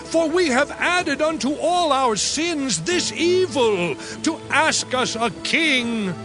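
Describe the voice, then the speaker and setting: Scared biblical commoner, super high voice, slow drawn-out begging, music underneath. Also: male voice, expressive, full character voice. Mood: fearful pleading. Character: frightened, pleading common people begging their prophet. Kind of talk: dramatized scripture audio acting.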